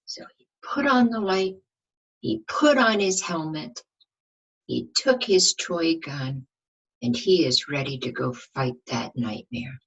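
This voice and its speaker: A woman reading a picture book aloud to young children like a teacher, expressive, often asking the listeners questions. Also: deep voice, takes it slowly, slightly rough, muffled recording. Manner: asks the listeners questions, dramatic emphasis.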